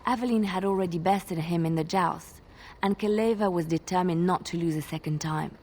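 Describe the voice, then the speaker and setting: An actress playing a gentle young woman bard in a video game, telling tales in a measured, expressive way. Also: fairly low pitch. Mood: earnest storytelling. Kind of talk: video game dialogue, fairy-tale storytelling.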